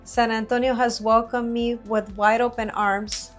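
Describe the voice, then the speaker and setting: A woman from Mexico, in her forties, talks in a higher voice that stays strikingly level and even. She answers earnestly and at a measured pace, pausing on small fillers, and light music plays faintly underneath. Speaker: guest business owner. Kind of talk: interview answers. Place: studio.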